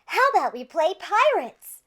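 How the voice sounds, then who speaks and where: A woman voicing an excitable young girl who calls out as the leader of pretend pirates in a children's story. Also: very high-pitched, a little slow, voice pushed up, full character voice. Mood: excited, commanding. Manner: exclamatory, calling out.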